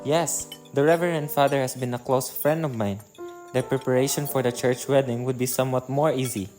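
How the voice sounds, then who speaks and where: A man playing a thoughtful father of adult children in a radio drama, speaking in a measured, philosophical way. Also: medium pitch, steady tone, close to an everyday voice. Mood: questioning, practical. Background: prominent music and chirping birds.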